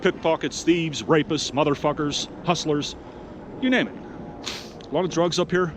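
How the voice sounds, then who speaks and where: A man's voice: fairly high pitch, normal speed. Sick, blunt man vlogging outdoors. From Pittsburgh, United States. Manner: blunt, profane, rambling. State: sick with a head cold. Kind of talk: walking street vlog. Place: city street at night, outdoors. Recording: street noise behind the voice.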